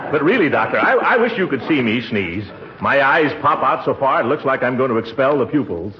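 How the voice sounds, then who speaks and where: A man's voice: mid-range voice, sing-song, brisk, highs cut off, natural voice. Man playing a blustery uncle on noisy old radio. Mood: complaining. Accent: American. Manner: theatrical, exasperated, chuckling. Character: blustery, chuckling middle-aged uncle with pompous flourishes. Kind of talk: 1940s American radio comedy acting.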